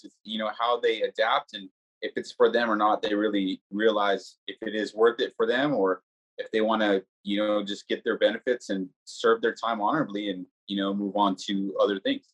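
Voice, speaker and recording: A man from the army answering interview questions in a measured way with frequent fillers. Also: on the low side, level tone, low-quality recording.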